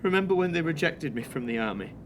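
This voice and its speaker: An actor playing a strained young wartime husband in an audio drama, defensive and hesitant, with a Northern English accent. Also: male voice, a little high, expressive, quick pace, noisy background, lightly acted. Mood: ashamed, halting.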